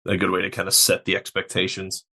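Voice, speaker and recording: A man's voice: low voice, completely flat delivery, fast, vocal fry, bright, forceful tone, breathy edge. A man hosting a podcast interview in a casual, conversational style. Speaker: podcast host.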